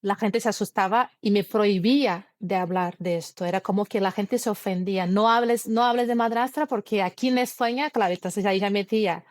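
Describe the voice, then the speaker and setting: A woman in her thirties talks through her story in an interview, earnest and a little halting as she restarts phrases in a language that isn't her first. Her pitch sits in the middle range, and the recording is dry, with no room echo. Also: a little mellow. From Brazil. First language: Portuguese. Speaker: guest. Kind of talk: conversational interview, personal story.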